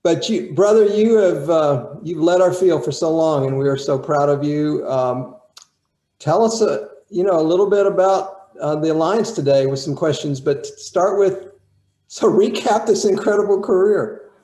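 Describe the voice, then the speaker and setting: A man opening an interview, greeting his guest warmly and admiringly with many fillers. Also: on the high side, normal speed, roomy sound. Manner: warm, admiring, hesitant with fillers. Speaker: interviewer, board chair. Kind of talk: friendly interview opener.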